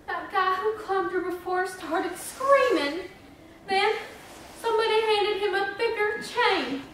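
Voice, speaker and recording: A woman's voice: very high voice, slow pace, a bit bright, heavily acted. A woman on stage playing a frightened young townsperson in a readers theater drama. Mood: uneasy, haunted. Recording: very echoey hall, distant, faint noise.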